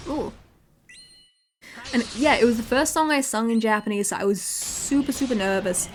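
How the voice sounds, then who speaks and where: A young woman with an English accent, chatting casually on a livestream, often repeating words and filling pauses. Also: on the high side, a little hiss or hum. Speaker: VTuber streamer.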